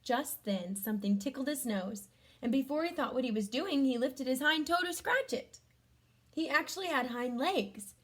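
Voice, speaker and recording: A woman reading a storybook aloud to children, adding gentle chatty comments and questions for young listeners. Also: sits high, lively intonation, moderate pace. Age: adult.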